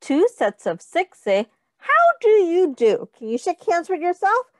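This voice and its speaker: High, sing-song woman reading to children. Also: big pitch swings, a little slow, no echo, clean studio sound. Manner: sing-song, encouraging, child-directed, asking questions. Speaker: teacher reading a picture book aloud. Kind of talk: children's read-aloud with counting prompts.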